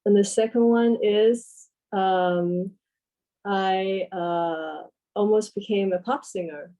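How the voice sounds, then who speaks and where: A woman's voice: mid-range voice, steady tone, warm tone. A woman from Taiwan speaking playfully on a group video call. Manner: slow, playful. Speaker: participant.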